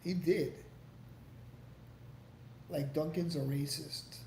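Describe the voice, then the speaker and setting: A man's voice: a little high, steady tone, slow, dark tone. A man hosting a casual livestream, answering viewer comments in a rambling, profane way with lots of restarts. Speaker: livestream host. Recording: echoey room with faint background noise.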